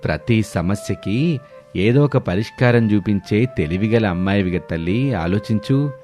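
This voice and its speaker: A man voicing a concerned older father-in-law who gently coaxes and pleads in a family moral story. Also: fairly low pitch, lively intonation, moderate pace, soft background music, natural voice. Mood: coaxing. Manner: coaxing, pleading. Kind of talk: dramatised moral story dialogue.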